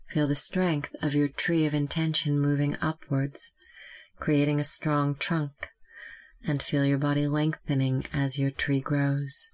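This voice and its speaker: A meditation guide speaking gently and calmly, giving slow, measured instructions with long pauses. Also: mid-range voice, vocal fry.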